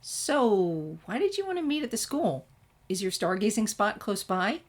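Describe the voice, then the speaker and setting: Woman voicing an eager, higher-pitched chirpy schoolgirl. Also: very animated, normal speed. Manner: bright, questioning, easily flustered.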